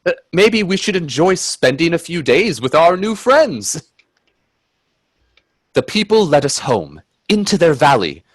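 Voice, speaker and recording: Timid genteel gentleman in a radio play, highish husky voice, full of wonder. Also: very animated, a little slow, pauses often, no room sound. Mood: mild, agreeable. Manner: hesitant, stammering in dialogue, genteel phrasing. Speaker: actor and narrator in a radio play. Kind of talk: radio drama acting and first-person narration. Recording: husky dry close audio from video-call performance.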